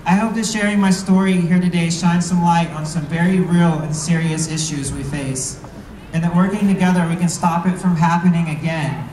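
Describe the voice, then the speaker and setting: A man delivering a prepared rally speech in an earnest, deliberate way. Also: fairly high pitch, steady tone, a little mellow. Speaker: rally speaker. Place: outdoor rally, recorded from within the crowd. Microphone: PA heard from far camera. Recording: distant, echoey outdoor PA with noise.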